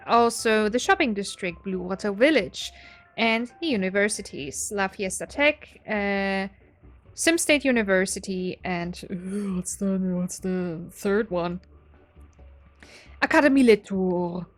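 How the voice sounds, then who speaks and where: A woman's voice: medium pitch, lively intonation, a little slow. A woman in her twenties with a German accent, casually explaining her game setup in a chatty, self-deprecating way, often breaking into a laugh. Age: 20s. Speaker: gaming video creator.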